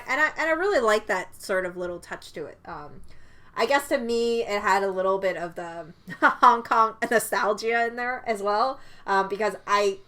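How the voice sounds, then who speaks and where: A woman's voice: medium pitch, pushed and bright. A woman whose first language is Cantonese, co-hosting a podcast in a chatty, laughing way. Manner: chatty, laughs often, restarts words. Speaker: podcast co-host. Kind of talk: casual film discussion.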